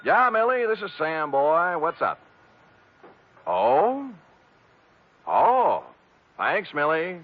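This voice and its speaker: Muffled old radio recording, brash male private eye, glib, bossy, very animated delivery. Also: on the high side, extremely slow, long pauses, heavily acted. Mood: breezy, flirtatious. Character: brash wisecracking male private detective, cocky and commanding. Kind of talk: 1950s radio detective drama acting.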